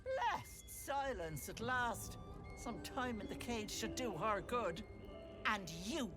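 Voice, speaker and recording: A woman's voice: on the high side, big pitch swings, slow. An actress playing a cruel, wheedling old hag in a video game, folksy and scolding, with menace in her endearments. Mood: mocking, irritated. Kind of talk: video game character acting. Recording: game music behind the voice.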